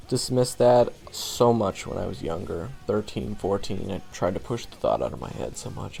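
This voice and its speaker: Young male monster hunter, played by a male actor, audio drama monologue. Casual, self-deprecating, trailing off; fry-heavy, expressive pitch, music underneath. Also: exaggerated voice. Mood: somber, reflective. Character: young monster-hunting swordsman, wry, wounded, easygoing. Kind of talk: conversational audio roleplay monologue.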